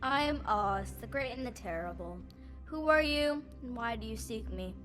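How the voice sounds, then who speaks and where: A woman's voice: fairly high pitch, animated, normal speed, a bit bright, soft background music. A woman in an audio play playing a regal, imperious winged lady, speaking formally. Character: regal winged fairy-like lady, great and terrible Oz. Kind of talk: audio play acting before a live audience.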